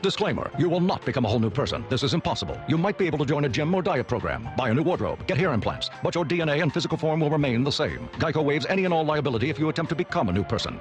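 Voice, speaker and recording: Man playing very fast fussy disclaimer guy. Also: on the high side. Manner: literal, officious. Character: fussy rapid-talking legal disclaimer announcer. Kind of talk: comic radio ad.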